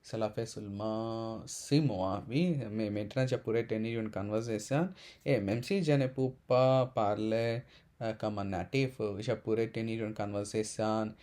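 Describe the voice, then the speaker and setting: Slow, halting man learning French. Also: fairly low pitch, darkish tone. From India. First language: Telugu. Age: 20s. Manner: halting, many fillers and self-corrections. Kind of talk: casual unscripted monologue.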